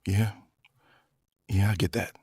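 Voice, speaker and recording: A man's voice: lowish voice, little pitch movement, slow, darkish tone, slightly rough, no echo, studio quality, heavily acted. An actor playing a grieving, world-weary man in a horror audio drama, with a subdued, dryly wry delivery. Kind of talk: naturalistic dramatic dialogue.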